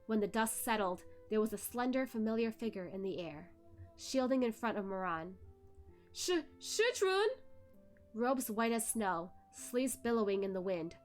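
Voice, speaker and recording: Female narrator, mid-pitched, dramatic fantasy web-novel read-aloud with soft music underneath. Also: moderate pace.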